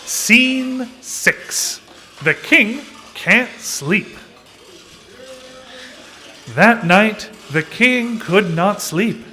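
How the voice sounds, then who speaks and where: Children's puppet show narrator, male, lively swoops, slow deliberate pacing. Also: sits high, faint music behind. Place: social hall, live broadcast. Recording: faint noise in social hall.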